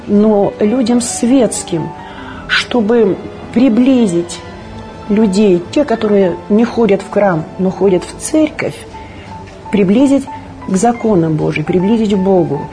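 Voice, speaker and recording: Female theatre director, reflective personal talk, soft mellow tone, medium pitch, light music underneath. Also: animated, a little slow. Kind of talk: reflective personal talk about own work. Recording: faint music behind the voice.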